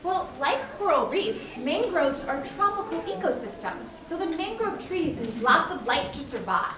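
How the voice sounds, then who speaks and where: Woman as a very high-voiced, cheerful ocean guide. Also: very animated, moderate pace, a crowd in the background. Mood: encouraging. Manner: explanatory, encouraging. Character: cheerful guide teaching about ocean habitats. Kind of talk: children's puppet show acting. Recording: echoey, distant live recording with clear noise.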